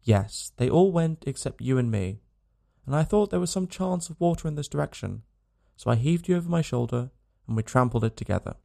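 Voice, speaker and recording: A parched, dying frontiersman, as a narrator puts him on, weary and gentle, speaking rough frontier vernacular. The voice is gravelly and dry, lifted above the reader's plain tone. Storybook reading. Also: mid-range voice, animated, fairly quick, pitched above the performer's own voice.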